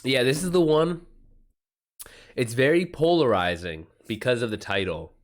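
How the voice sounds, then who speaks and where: Male streamer, mid-pitched voice, casual livestream chat, rambling, slangy, sweary, informal. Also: animated, normal speed. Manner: rambling, retells exchanges in quoted back-and-forth. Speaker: author livestreaming and chatting with viewers.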